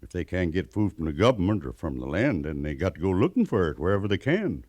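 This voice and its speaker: A weathered frontier scout in an American radio western. His voice is low and very expressive, rising and dropping widely. He talks in a plain, folksy drawl with rustic grammar, and the sound is close and dry. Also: fast, no room sound. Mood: grave, urgent. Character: weathered mountain man scout, plainspoken, living among the Arapaho. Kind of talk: 1950s western radio drama acting.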